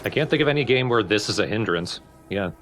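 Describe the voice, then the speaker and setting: Wry low-voiced man chatting over a game. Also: animated, quick pace, a bit bright, soft background music. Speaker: co-commentator. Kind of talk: casual let's play banter.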